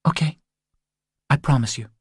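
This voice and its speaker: A man's voice: moderate pace, no room sound, studio quality, natural voice. A man voicing a frightened, reluctant woman, tense and hesitant, in an audiobook thriller. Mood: resigned. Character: frightened, reluctant woman, anxious wife and mother. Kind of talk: audiobook character dialogue.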